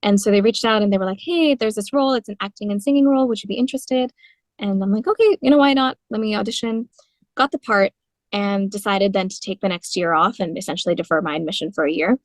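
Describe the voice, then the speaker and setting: A woman's voice: mid-range voice, fast, no room sound. Young woman telling stories, dry close sound. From India. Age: 20s. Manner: animated storytelling with frequent laughs and fillers. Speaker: guest singer-songwriter. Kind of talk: conversational interview.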